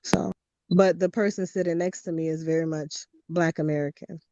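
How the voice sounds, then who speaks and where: A man's voice: a little high, fairly even intonation, moderate pace, darkish tone, no echo. A middle-aged man whose first language is Finnish, a therapist explaining his views at length in a panel debate, on dull, dry lo-fi audio. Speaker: therapist guest.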